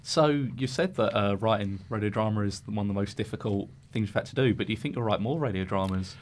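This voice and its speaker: A man's voice: fairly low pitch, fast, slight background noise. A man interviewing a guest casually on radio.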